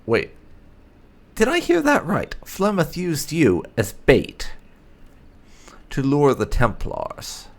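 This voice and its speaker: A man hosting a game playthrough, musing deliberately as he roleplays and reads out his character's dialogue choices. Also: a little high, wildly swooping pitch. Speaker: gaming channel host, player.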